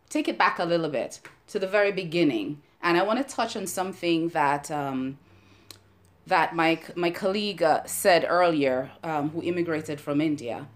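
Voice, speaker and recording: A middle-aged woman from Jamaica with a Jamaican accent, giving an earnest, measured personal talk as a podcast host and panelist. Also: lowish voice. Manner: earnest, measured, with some emotion and restarts. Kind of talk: prepared personal talk on race.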